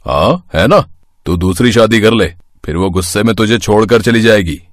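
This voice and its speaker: Man playing a mischievous, animated village buddy. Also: fairly low pitch, normal speed, no echo, studio quality, lightly acted. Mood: scheming. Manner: mocking, brash.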